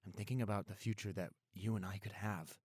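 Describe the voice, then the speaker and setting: Guy doing a sorry young boyfriend, deep voice, soft reassuring way of talking, intimate audio roleplay, really dry sound. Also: steady tone, a little fast, husky, no echo. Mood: earnest, reassuring. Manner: earnest, gentle, reassuring. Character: apologetic, caring young boyfriend soothing his upset girlfriend.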